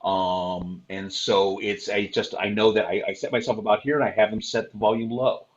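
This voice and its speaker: An older man sharing practical performing advice on an online convention panel, loud-voiced, with many fillers and restarts. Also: fairly low pitch, steady tone, normal speed, muffled recording.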